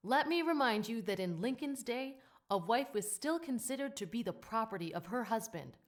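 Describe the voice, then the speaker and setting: An assertive advocate acted by a woman sets the record straight in an audio play. Her voice is fairly high and her delivery pointed. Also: lively intonation, normal speed, lightly acted. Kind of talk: scripted audio play reading.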